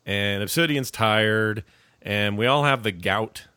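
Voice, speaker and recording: A man's voice: lowish voice, slow pace, pushed and bright, clean tone, no room sound, studio quality. A middle-aged man hosting a podcast with a cold, rambling and joking casually. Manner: rambling, jokey, self-interrupting. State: has a cold, coughing. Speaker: podcast host.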